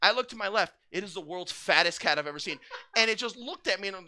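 A man's voice: high voice, fast. A man, joking and swearing through casual banter as a tournament stream commentator. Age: 30s. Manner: joking, profane, animated.

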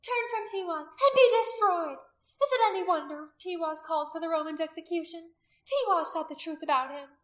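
A woman voicing a venomous, imperious pagan high priestess in an audiobook, declaiming accusations as if to a crowd. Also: fairly even intonation, moderate pace, a bit bright, reverberant. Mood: fiery, disdainful. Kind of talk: dramatic audiobook character acting.

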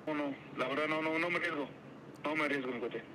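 A man's voice: fairly high pitch, fast talker, sharp tone. A man with a Mexican accent on a phone call with a customer, polite and hesitant, often repeating himself. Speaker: furniture cleaning service worker. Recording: phone call with music behind.

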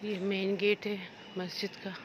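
A woman's voice: mid-range voice, level tone, a little slow, noisy background. A woman making short casual remarks as she films inside a large mosque hall on a phone. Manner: brief pointing-out comments.

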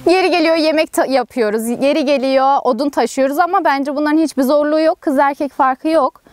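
A woman's voice: sits high, brisk. A young woman speaking casually in an interview as a member of a camping group. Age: young adult. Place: outdoors at a campsite.

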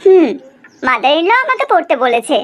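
A woman's voice: very high voice, very animated, a little slow, heavily acted. A woman playing a confident, teasing young newlywed wife in a cartoon drama, with emphatic questioning. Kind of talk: animated cartoon drama dialogue.

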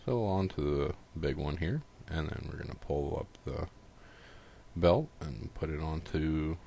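A man's voice: very deep voice, a little slow, gravelly, mellow, a little hiss or hum. A man casually narrating a step-by-step repair tutorial in an informal, folksy, unscripted way.

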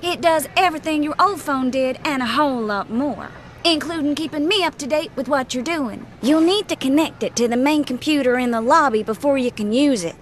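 A woman playing a friendly, confident young policewoman with a sweet, familiar manner in an animated game. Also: high voice, brisk, slight background noise, close to an everyday voice. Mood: helpful, confident.

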